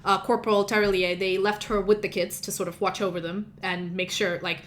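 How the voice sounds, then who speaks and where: A woman's voice: mid-range voice, flat delivery, fairly quick, a bit bright, a little hiss or hum. A woman whose first language is Russian, narrating as game master in a rambling, chatty way, often correcting herself. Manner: chatty, rambling, self-correcting, laughs at own slips.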